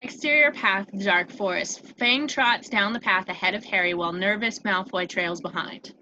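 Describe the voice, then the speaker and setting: A woman's voice: medium pitch, moderate pace, highs cut off. A woman reading scripted stage directions as narrator of a table read. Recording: clear steady background noise.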